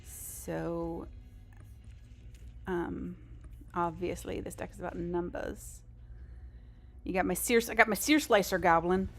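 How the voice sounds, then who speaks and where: A woman's voice: on the low side, steady tone, takes it slowly, creaky, long pauses, faint music behind. A woman live streamer chatting casually over a game, in a rambling, informal and self-deprecating way. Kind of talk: casual gaming stream chat.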